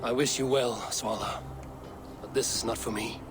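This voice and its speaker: An actor playing a resolute, loyal Viking warrior in a video game, speaking in a solemn, formal way. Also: male voice, medium pitch, steady tone, moderate pace, lightly acted. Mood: solemn, refusing. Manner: solemn, earnest, formal phrasing. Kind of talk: dramatic video game acting. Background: game music.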